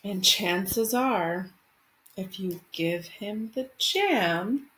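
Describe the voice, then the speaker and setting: Woman in her thirties, schoolteacher, medium pitch, expressive delivery for children, small room at home, faint noise. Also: very slow, low-quality recording. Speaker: elementary school teacher. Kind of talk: reading a picture book aloud to children.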